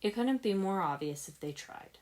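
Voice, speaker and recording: A woman's voice: mid-range voice, expressive, normal speed, darkish tone. A woman narrating a story as a scripted reading, moving between narration and dialogue. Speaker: fan fiction narrator, podfic reader.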